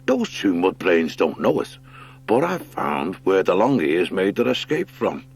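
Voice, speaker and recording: A scheming rat from an animal adventure tale, acted by a man. He sounds low, surly and gruff, full of contempt. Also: big pitch swings, a little slow, full character voice. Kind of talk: audiobook character acting.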